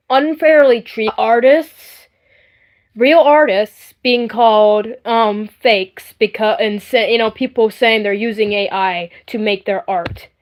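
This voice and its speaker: Higher-pitched woman chatting on a livestream. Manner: rambling, earnest, emphatic, often repeating herself. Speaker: livestream host. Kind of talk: casual livestream chat.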